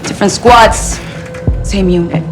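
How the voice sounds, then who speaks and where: An actress playing a proud, cold Mexican cartel boss with a military past, defiant and mixing Spanish into English. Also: female voice, mid-range voice, measured pace, over music, natural voice. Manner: defiant, code-switches between English and Spanish. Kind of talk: cinematic military game acting.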